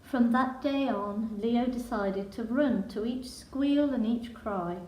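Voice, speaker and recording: A woman reading a children's picture book in verse with a measured, dramatic storytelling delivery. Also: fairly high pitch, mellow, slight background noise. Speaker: storybook reader. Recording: echoey room.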